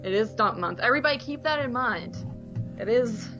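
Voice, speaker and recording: A woman's voice: on the high side, expressive, fairly quick, highs cut off, over music. A woman co-hosting a movie podcast, chatting casually about films in an informal, rambling way. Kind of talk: casual film discussion.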